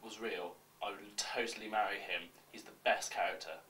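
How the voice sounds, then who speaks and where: A man reading scripted confessions in an awkward, deadpan manner. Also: on the low side, moderate pace. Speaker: reader of fans' confessions. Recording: distant mic, faint background noise.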